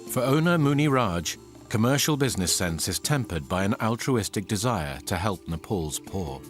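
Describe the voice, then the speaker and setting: Low-voiced male documentary narrator. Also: lively intonation, normal speed, music in the background.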